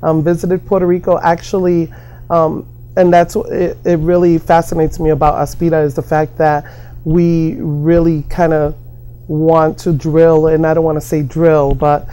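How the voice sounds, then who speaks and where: A middle-aged American woman answering informally in an interview, halting and often repeating her phrases. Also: deep voice, level tone, dark tone. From New York and Massachusetts, United States. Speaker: interviewee, community worker.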